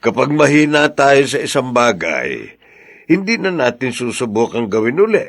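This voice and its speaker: A man acting a warm, decisive farmer husband in a Filipino radio drama, with a slightly husky, creaky voice. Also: medium pitch, very animated, moderate pace, dry recording, studio quality. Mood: teasing, casual.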